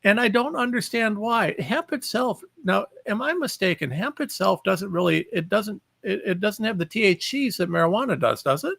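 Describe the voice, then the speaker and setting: A male show host introducing a guest and asking questions in a halting way with many restarts. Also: high voice, animated, vocal fry, a bit bright, dry recording. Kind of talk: interview talk.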